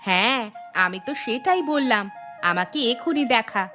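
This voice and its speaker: An exasperated village mother from a cartoon, acted by a woman, with a high and forceful voice. She scolds and complains in an animated way, with music behind her. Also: normal speed. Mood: firm, motherly. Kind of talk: Bengali cartoon voice acting.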